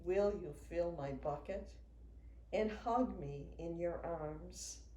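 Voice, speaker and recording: A woman in her sixties, a children's author, reading a picture book aloud to young children in an expressive, sing-song way. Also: fairly low pitch, slow. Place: indoors in a home room with a wooden desk. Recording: roomy sound with faint noise.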